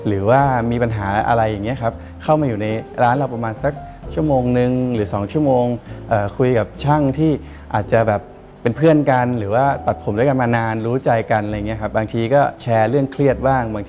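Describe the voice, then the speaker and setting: A middle-aged man seated in a wood-panelled barbershop, explaining his approach slowly with many pauses and fillers. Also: medium pitch, darkish tone. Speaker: barbershop owner.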